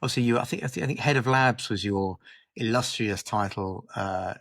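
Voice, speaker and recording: A man's voice: fairly low pitch, fairly quick, gravelly, a bit bright, dry recording. Rambling male podcast host, dry sound. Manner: rambling questions with repeated words. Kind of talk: conversational interview questions.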